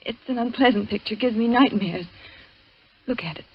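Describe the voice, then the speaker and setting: A woman's voice: on the high side, slightly rough. An actress in a 1940s radio drama playing an anxious, brooding young woman who speaks hesitantly. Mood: fearful, insistent. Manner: hesitant, halting. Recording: muffled vintage 1940s radio recording.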